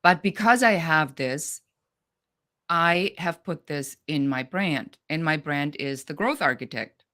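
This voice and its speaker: A middle-aged woman with a German accent talks business in a blunt, straight-up manner. Her voice sits low for a woman. She speaks with lively conviction as an interview guest. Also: takes it slowly. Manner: direct, no-nonsense, animated. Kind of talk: podcast interview.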